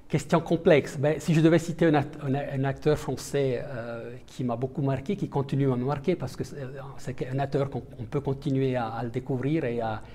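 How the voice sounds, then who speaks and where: Hesitant diplomat, mellow voice. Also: male voice, medium pitch, steady tone, moderate pace, hardly any pauses. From Italian-speaking Switzerland. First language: Italian. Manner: hesitant, many fillers and restarts. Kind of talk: interview answers.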